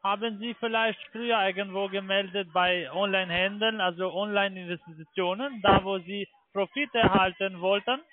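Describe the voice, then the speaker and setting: Male call-centre caller, high voice, foreign accent, non-native German, halting delivery, tinny phone sound. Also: fairly even intonation, a little hiss or hum. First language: not German. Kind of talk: phone sales pitch. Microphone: telephone. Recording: tinny narrow phone line.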